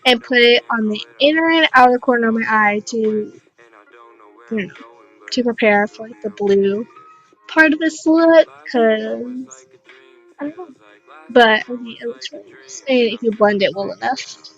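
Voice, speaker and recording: A young woman with a raspy voice, casually narrating a makeup tutorial in a rambling, unsure, self-deprecating way. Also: a little high, measured pace, a bit bright, dull audio, faint music behind.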